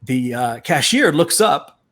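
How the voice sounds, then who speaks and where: A man's voice: on the high side, big pitch swings, slow pace, fairly bright tone. A middle-aged man on a discussion panel, an outspoken storyteller who acts out the voices of the people he quotes. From USA. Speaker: actor and panel guest.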